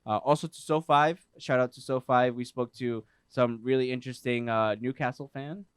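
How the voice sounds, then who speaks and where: Smooth-voiced man giving shout-outs. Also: mid-range voice, fairly even intonation, moderate pace, no room sound, clean studio sound. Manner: upbeat, lots of shout-outs. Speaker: podcast co-host. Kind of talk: casual chat.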